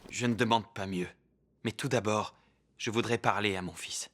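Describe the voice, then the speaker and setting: A man playing a calm middle-aged father and professor in a French cartoon dub. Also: fairly low pitch, moderate pace. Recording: loud music behind.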